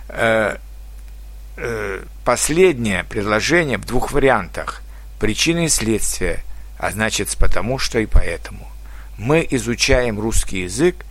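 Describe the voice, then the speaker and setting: A man teaching a grammar lesson to foreign learners, reading example sentences slowly and carefully and letting his pitch fall at the end of each example. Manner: slow, careful dictation-like delivery. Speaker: Russian language teacher.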